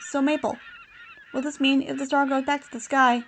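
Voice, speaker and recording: A woman's voice: high voice, little pitch movement, fairly quick, slight background noise. A woman playing a thoughtful, curious adventurer in an audio drama, earnest and reflective.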